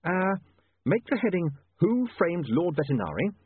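A man's voice: high-pitched, sing-song, moderate pace, slightly rough, no echo, pitched above the performer's own voice, full character voice. A man voicing an earnest, frantic, educated young newspaperman with an urgent, insistent manner in an audiobook. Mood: working it out. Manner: urgent, insistent, hesitant at times. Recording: muffled narrow-band, phone-like radio sound.

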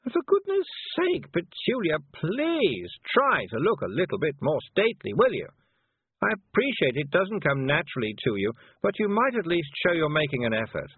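A man's voice: on the high side, wildly swooping pitch, normal speed, husky, no echo, pitched above the performer's own voice, heavily acted. A man voicing a bossy, haughty, impatient teenage girl witch in a storybook reading, scolding and condescending. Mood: exasperated scolding. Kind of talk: audiobook character voice. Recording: muffled narrow-band phone-like sound.

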